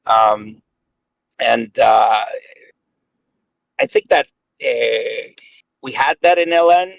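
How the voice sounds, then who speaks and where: A man's voice: medium pitch, lively intonation, very slow, creaky, dry recording, dull audio. A man in his late thirties chatting casually on a voice call, rambling and hesitant with many fillers. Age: 30s. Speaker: gaming guest. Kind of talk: casual chat.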